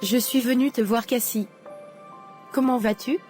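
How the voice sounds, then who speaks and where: Une femme interprète une jeune fille de dessin animé, douce et fervente. Sa voix est plutôt aiguë. On entend une légère musique derrière elle. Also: female voice, on the high side, steady tone, unhurried, soft background music, close to an everyday voice. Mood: gentle. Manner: soft, earnest, forgiving. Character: young woman, daughter freed from captivity, gentle and devout.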